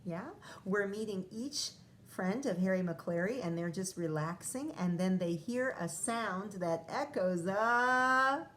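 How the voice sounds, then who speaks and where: A woman teacher reading a picture book aloud to young children, pausing to ask questions and explain words. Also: medium pitch, lively intonation, a bit bright, slight background noise. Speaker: first grade teacher. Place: classroom or home, recorded for video.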